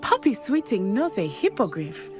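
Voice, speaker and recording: A determined teenage schoolgirl witch, played by a woman speaks. Her phrasing is formal and precise, earnest and brave, at a middling pitch. It is video game cutscene acting with clear music underneath. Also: sing-song, moderate pace, lightly acted. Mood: confident, pleased.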